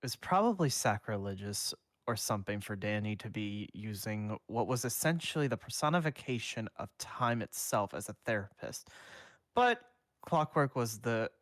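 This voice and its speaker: A man streaming a reading of fan fiction aloud, with a steady read that has small slips and restarts. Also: lowish voice, dry recording, studio quality. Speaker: fanfic reader and streamer.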